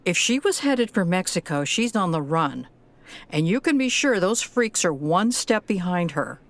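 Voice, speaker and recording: An actress playing a protective, troubled, tough-minded granddaughter in an audio drama, earnest in manner. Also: female voice, lowish voice, very animated, normal speed. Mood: urgent, determined.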